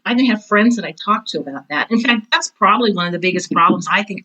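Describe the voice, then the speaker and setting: A woman's voice: lowish voice, sharp tone, low-quality recording. An American woman in her sixties talking candidly as an interview guest, blunt and full of personal anecdotes. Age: 60s. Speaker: interview guest, drama teacher and author.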